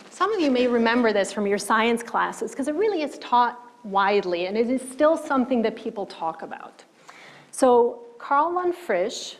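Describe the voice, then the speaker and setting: Swiss-born woman historian, middle-aged, lecturing from slides, measured and explanatory, slightly high voice. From German-speaking Switzerland. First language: Swiss German. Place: lecture hall.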